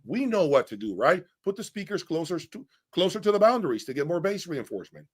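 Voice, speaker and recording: A man's voice: a little high, very animated, quick pace, slightly rough. Blunt, emphatic male audio reviewer. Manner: blunt, emphatic, often checks with "okay?" and "right?". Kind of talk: informal opinionated product breakdown.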